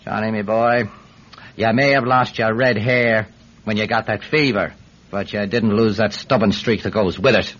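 A man's voice: on the low side, steady tone, normal speed, a bit bright. A man playing a talkative, evasive Irish villager in a radio drama, hesitant and wheedling as he rambles. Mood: cajoling. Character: talkative, evasive Irish villager testifying about his friend. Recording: dull, lo-fi radio recording.

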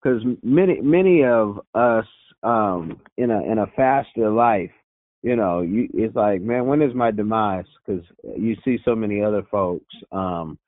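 A male host speaks earnestly, pausing and restarting as he builds his question. The sound is dry and close, squeezed thin like a phone call. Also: fairly low pitch, animated, no room sound. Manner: earnest, halting, many fillers. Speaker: interview host. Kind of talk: conversational interview.

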